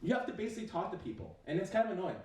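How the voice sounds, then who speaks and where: A man's voice: high-pitched, lively intonation, racing, very echoey, recorded from a distance, rough recording. A young man whose first language is Chinese, doing a casual stand-up set full of fillers, swearing and self-mockery. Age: young adult. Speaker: stand-up comedian. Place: university comedy stage.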